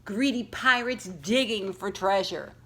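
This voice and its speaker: A woman with a mid-range voice reads a picture book to young children. She phrases deliberately and varies her emphasis to tell the story, speaking as a school librarian would. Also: mid-range voice, animated, pushed and bright, slightly rough. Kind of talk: expressive picture-book read-aloud with questions to young listeners.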